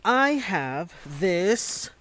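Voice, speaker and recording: A woman's voice: medium pitch, sing-song, extremely slow, noisy background. A woman playing a chatty young kid adventurer in an audio drama, slangy and exclamatory. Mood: awkward, excited.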